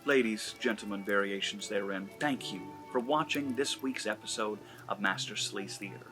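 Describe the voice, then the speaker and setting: A man's voice: fairly low pitch, normal speed, pushed and bright, soft background music. A man hosting a comedy channel, giving an erotic story a mock-serious dramatic reading. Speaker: narrator and host.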